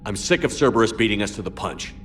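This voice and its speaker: Resolute military commander, battle-weary, low pitch, dramatic game dialogue, faint music. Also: male voice, animated, quick pace, fairly bright tone. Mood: frustrated, commanding. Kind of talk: dramatic video game acting.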